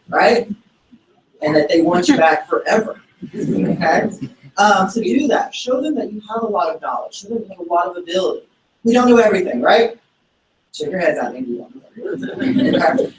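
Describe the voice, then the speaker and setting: A man with a high voice gives an upbeat closing address from far off in an echoing hall. He urges the audience on and keeps checking in with them, and faint room sound runs underneath. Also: lively intonation, fairly quick, a little hiss or hum. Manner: exhortative, with repeated tag questions. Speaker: speaker giving closing remarks. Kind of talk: motivational speech. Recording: roomy hall, far from the mic.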